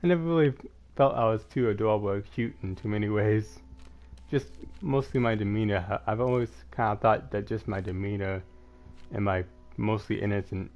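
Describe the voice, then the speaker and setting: A man's voice: lowish voice, a little mellow. A man with a slight lisp chatting casually and rambling as he streams gameplay, in a self-effacing way. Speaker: gaming streamer. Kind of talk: casual live gameplay commentary, chatting with viewers. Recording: dull lo-fi mic, music behind.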